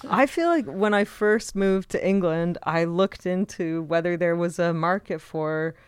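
A podcast co-host in casual chat, giggly and halting, with many restarts. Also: female voice, lowish voice, clear, resonant tone, no echo, clean studio sound.

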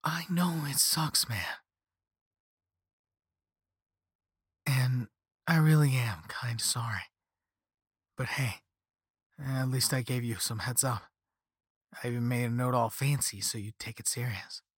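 A man's voice: medium pitch, a little slow, pauses often, a bit bright, breathy edge, no room sound, clean studio sound. A man voicing a flippant, easygoing young rich slacker in a story, with a casual slangy drawl and sarcastic half-apologies. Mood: breezy, half-apologetic. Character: flippant, laid-back young rich slacker on a phone call.

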